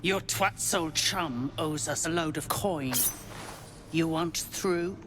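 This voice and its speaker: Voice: medium pitch, slow, a bit bright, soft background music. An actor playing a crude, foul-mouthed dwarf guard in a video game, blunt and mocking. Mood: gruff, threatening.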